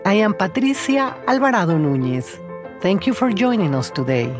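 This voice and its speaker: A woman's voice: mid-range voice, big pitch swings, moderate pace. A woman presenting a program as host, reading a scripted introduction in a formal announcing style. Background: music.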